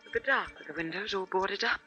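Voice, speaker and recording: An actress playing an anxious, questioning wife in a 1950s radio suspense drama. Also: female voice, mid-range voice, fast, lo-fi sound, lightly acted. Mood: uneasy, questioning. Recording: vintage 1950s radio broadcast, music behind.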